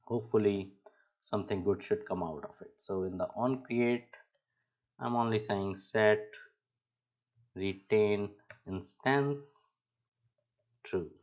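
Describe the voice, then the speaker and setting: A man giving an unscripted coding tutorial as an instructor, explaining step by step in a halting way, on a dull lo-fi microphone. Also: on the low side, a little mellow. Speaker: programming instructor.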